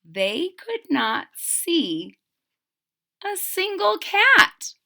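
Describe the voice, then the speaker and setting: A woman reading a picture book aloud to young children, expressive and stopping to explain in simple words. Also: extremely high pitch, extremely slow, vocal fry. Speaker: storybook reader.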